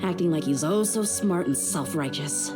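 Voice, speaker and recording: A woman's voice: fairly low pitch, moderate pace, over music, natural voice. An actress playing a tough young woman mercenary in a video game, blunt and world-weary, with a guarded, hurt edge. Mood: bitter, frustrated. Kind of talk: dramatic video game dialogue.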